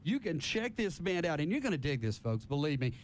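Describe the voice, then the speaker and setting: A man's voice: a little high, very animated, brisk, slight background noise. A man hosting a casual live radio interview, chatty and rambling, often repeating himself. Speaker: radio host interviewing a band. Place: radio studio.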